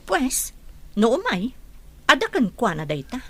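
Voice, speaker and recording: A woman's voice: fairly high pitch, huge pitch swings, unhurried, heavily acted. A woman playing a bossy, questioning adult daughter who runs the house in a radio comedy-drama. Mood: coaxing.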